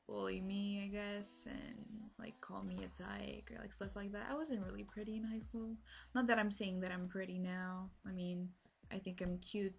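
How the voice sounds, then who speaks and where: A woman's voice: medium pitch, creaky. A young woman from French Canada with a French Canadian accent, French her first language, telling a personal story to camera in a rambling way, full of fillers and restarts, moving about as she talks. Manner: moves around while talking. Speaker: vlogger.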